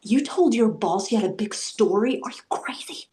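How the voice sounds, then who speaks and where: A woman playing a blunt, provoking, deadpan young mother in a stage comedy read. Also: mid-range voice, normal speed, natural voice. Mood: incredulous.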